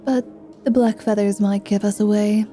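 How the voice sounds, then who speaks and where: A woman voicing a flirtatious, mischievous vampire paladin in an ASMR roleplay, with a teasing, drawn-out delivery. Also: mid-range voice, fairly even intonation, mellow, soft background music, lightly acted. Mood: flirtatious, hushed. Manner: slow, teasing, sultry delivery with drawn-out words.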